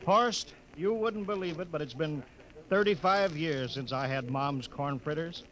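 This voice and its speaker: Stern middle-aged space captain, fairly high voice, clipped commands, vintage radio drama. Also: male voice, unhurried, fairly bright tone, rough recording. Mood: shaken, moved. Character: stern spaceship captain, suspicious, rattled by emotion. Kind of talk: 1950s radio drama acting.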